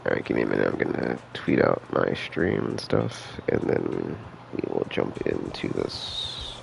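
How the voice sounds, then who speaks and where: A man's voice: rumbling low, huge pitch swings, a little slow, gravelly, slight background noise. A man casually rambling through live game stream commentary, thinking aloud in a laid-back, slangy way. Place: indoors, live stream with game music behind.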